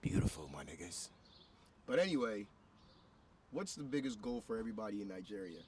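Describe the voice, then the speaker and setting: A man from Ghana hosting a casual interview for his vlog, excitable and profane, often cutting in. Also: lowish voice, a little fast. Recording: distant mic with background noise.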